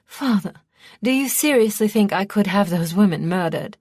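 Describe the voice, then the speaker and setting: A woman voicing a sharp, scheming young princess in an audiobook, haughty, emphatic and defiant. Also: mid-range voice, expressive, a little slow, no room sound, studio quality, pitched above the performer's own voice. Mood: protesting.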